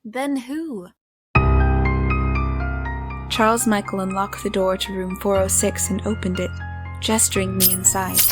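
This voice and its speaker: A woman acting as an uneasy, principled heroine in an audio drama, speaking in a measured, guarded way. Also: medium pitch, fairly even intonation, loud music behind the voice, lightly acted. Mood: defensive. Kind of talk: scripted audio drama narration and dialogue.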